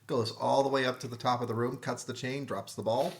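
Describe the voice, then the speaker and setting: A man's voice: medium pitch, steady tone, a little fast. A man on a podcast panel explaining things methodically in casual chat. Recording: noticeable background noise.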